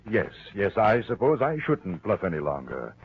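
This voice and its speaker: A muffled, thin vintage radio recording of a low, husky American man, a suspected killer in a crime drama. He is patronizing and falsely caring, halting yet insistent, with a pitch that swings widely. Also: big pitch swings. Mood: patronizing, smooth. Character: smooth, evasive husband, suspected wife killer, falsely solicitous. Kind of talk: 1940s radio mystery acting.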